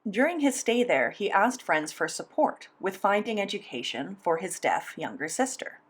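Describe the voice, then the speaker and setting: A woman voicing a sign language interview as interpreter, speaking in a measured, steady first-person delivery. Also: on the low side, slightly rough.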